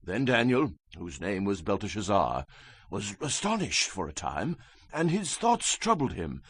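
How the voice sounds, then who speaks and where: Male scripture narrator, solemn and theatrical, highly varied pitch, very dry recording. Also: wildly swooping pitch, measured pace, breathy edge, dry recording. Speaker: Bible narrator.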